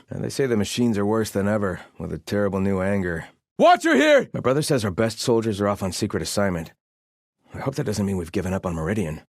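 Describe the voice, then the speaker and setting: An actor playing a zealous, grumbling soldier loyal to a fallen king, delivering video game lines with pompous devotion and gruff scorn. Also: sits low, no echo, studio quality. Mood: shouted alarm. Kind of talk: video game voice lines, battle barks and muttered remarks.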